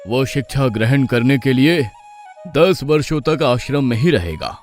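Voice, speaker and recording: A man voicing a kindly old village guru in a moral-story cartoon, calm, formal and benevolent. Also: medium pitch, a little slow, soft background music, close to an everyday voice. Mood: kindly, reassuring.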